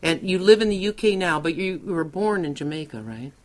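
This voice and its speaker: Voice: mid-range voice, animated, fairly quick. Chatty laughing radio host. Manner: chatty, laughs often, asks leading questions. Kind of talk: casual interview conversation.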